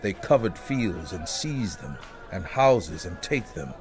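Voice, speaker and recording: A man plays a grave prophet in a dramatic scripture reading. The delivery is low, slow and declamatory, solemnly pronouncing woe over faint music. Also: sing-song, a little mellow, full character voice. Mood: solemn, accusing.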